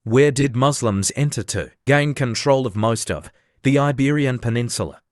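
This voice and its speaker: A man hosting a quiz, reading out questions and answers in a plain, measured way. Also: mid-range voice, no echo. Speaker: quiz host. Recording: clear steady background noise.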